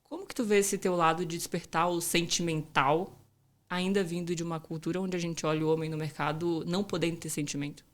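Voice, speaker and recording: A woman's voice: lowish voice, fairly even intonation, a little fast. A woman hosting a podcast interview in a casual, upbeat, chatty way. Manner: upbeat, chatty, uses informal "tu". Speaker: podcast host.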